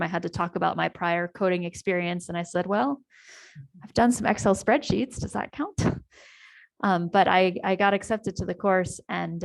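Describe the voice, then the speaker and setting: A woman's voice: lowish voice, gravelly. A middle-aged American woman telling her story and presenting a project on a video call, with many ums and restarts. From United States. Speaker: community presenter. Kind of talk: online town hall presentation.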